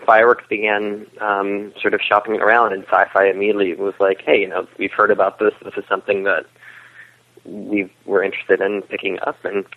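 A man with a fairly low voice speaks as a guest in a casual interview, explaining things conversationally. His voice comes through a thin, phone-like connection. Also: flat delivery, a little fast. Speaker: interview guest, web series creator. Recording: tinny phone-like line.

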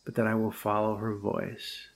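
A man preaching a reflective sermon, slow and thoughtful, building to emphatic points and then telling a first-person story. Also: fairly low pitch, monotone.

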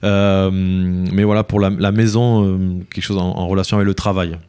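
A man gives conversational interview answers in a fairly low, warm voice with a clean, resonant tone. He explains things haltingly, often repeating a word before carrying on. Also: level tone, smooth. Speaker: interview guest, village mayor.

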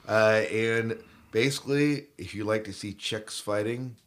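Male podcast host, low register, casual and joking conversational news delivery. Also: fairly even intonation, unhurried, a bit bright.

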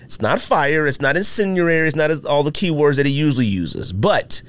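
A man hosting a casual sneaker and sports podcast, bantering in an animated, teasing way and a little sniffly with allergies. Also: fairly high pitch, brisk, no echo. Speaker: podcast host.

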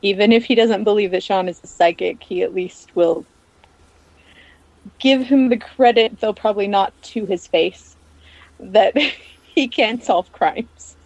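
A woman co-host speaks at a medium pitch, recorded dry with no room sound. Her delivery is casual and a little tentative, working out her thoughts as she talks. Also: a little slow, a little mellow, no echo, low-quality recording. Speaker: podcast co-host. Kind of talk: casual TV recap chat with friends.

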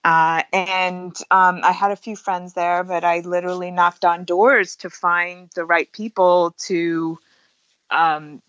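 A woman with a slightly low voice chats as an interview guest, enthusiastic about her work. Her delivery is informal and conversational. The sound is very dry and a little dull. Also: steady tone, takes it slowly, a bit bright, no room sound. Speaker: photographer and workshop teacher, interview guest. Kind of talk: casual interview chat.

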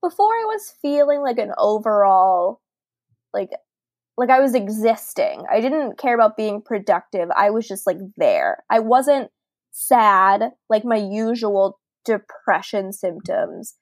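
A woman's voice: mid-range voice, sing-song, measured pace, dry recording. Chatty woman in her late twenties, dry close sound. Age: late 20s. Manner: chatty, laughs often, lots of "like" fillers. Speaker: podcast co-host. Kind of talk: casual podcast chat.

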